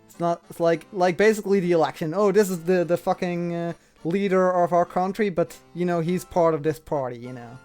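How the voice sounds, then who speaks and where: A man's voice: high-pitched, a little fast, hardly any pauses, darkish tone, faint music behind. A man chatting casually as an interviewer, explaining things in a rambling way and often repeating himself. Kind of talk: casual chat.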